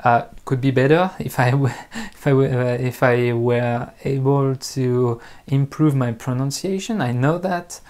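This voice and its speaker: French-accented male host, unhurried and halting, frequent filler pauses, off-the-cuff talk to viewers. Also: mid-range voice, a little mellow. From France. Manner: hesitant, pausing often with ums and uhs. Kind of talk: unscripted talk to camera.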